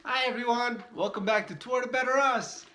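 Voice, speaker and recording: A man's voice: very high voice, fairly quick, bright, forceful tone, distant mic, faint music behind. Teasing young man in an echoey room. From Romania. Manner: playful teasing. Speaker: vlog co-host. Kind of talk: casual chat.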